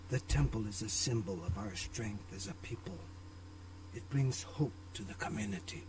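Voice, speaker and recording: A man's voice: on the low side, recorded from a distance, noisy background, lightly acted. An actor voicing a gracious, formal older businessman, a courteous father figure in a video game. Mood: solemn. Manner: formal, courteous, measured wording.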